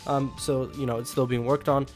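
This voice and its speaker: A man's voice: mid-range voice, little pitch movement, brisk. A man hosting a gaming update video, talking in a casual, informal, explanatory way. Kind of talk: casual game update commentary. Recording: music behind the voice. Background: background music.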